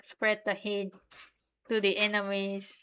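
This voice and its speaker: Bright, crisp-voiced young Vietnamese woman streamer. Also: mid-range voice, takes it slowly. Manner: relaxed, giggly, chatting to viewers. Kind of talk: casual stream chat while drawing.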